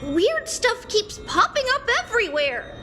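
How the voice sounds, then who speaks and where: An excitable sweet twin sister; very high, lively, with loud game score behind. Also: female voice, unhurried, music in the background, heavily acted. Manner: excitable, gushing. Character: bubbly young twin sister, eager and sweet. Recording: game soundtrack underneath.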